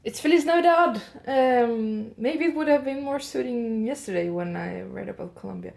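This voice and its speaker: Woman, Swedish accent, solo radio-style host. Chatty, musing, warm low tone, slightly gravelly. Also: normal speed. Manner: chatty, musing aloud with curious asides to listeners. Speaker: host of an audio advent show.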